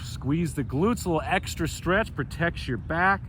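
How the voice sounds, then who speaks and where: A man leading a stretching routine as fitness instructor, cueing calmly and chatting in between, with a slightly stuffy nose, outdoors. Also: a little high, measured pace, background noise. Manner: calm, chatty cueing with breathy sighs.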